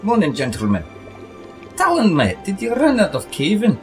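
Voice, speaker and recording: A man's voice: high-pitched, huge pitch swings, normal speed, darkish tone. A man voicing a small, blunt, matey creature who keeps a potion shop in a fantasy audio drama. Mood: matey greeting. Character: small genderless teal creature, gruff frank potion shopkeeper. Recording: music behind the voice.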